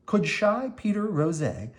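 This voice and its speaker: A person reading a children's picture book aloud, expressively and deliberately, with dramatic emphasis and short explanations for young listeners. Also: mid-range voice, very animated. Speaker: storybook reader explaining words to children.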